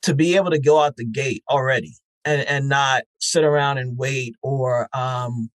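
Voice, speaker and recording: A man speaks as a podcast guest at a mid pitch, his voice dry and close with no room sound. He thinks aloud, circling back with fillers and restarts while making his points. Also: normal speed, dry recording, poor audio. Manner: reflective, many fillers and restarts. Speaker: podcast festival founder, guest. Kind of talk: conversational interview answers.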